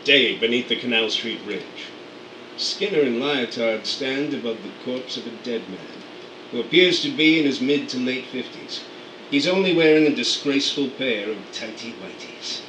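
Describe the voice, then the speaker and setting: A man narrating radio play scene directions in a steady, matter-of-fact way. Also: medium pitch. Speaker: narrator reading stage directions. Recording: roomy, distant mic, air conditioning hum.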